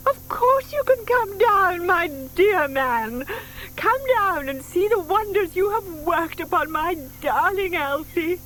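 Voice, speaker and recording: A woman's voice: very high voice, expressive, slow, fairly bright tone, exaggerated voice, rough recording. An older woman acting a sweet, gushing lady who dotes on her pet, in a children's audio drama. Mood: delighted, amazed.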